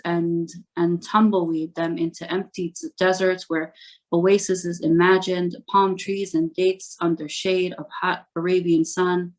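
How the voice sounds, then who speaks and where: Woman poet, lowish voice, reads her spoken word pieces slowly and deliberately in short lines. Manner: measured, deliberate delivery in short phrases. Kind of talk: spoken word poetry reading.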